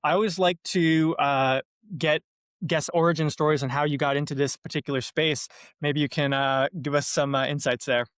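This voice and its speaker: A man hosting a podcast interview, asking relaxed questions with plenty of hesitations. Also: on the high side, normal speed, dry recording, clean studio sound. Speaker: podcast host.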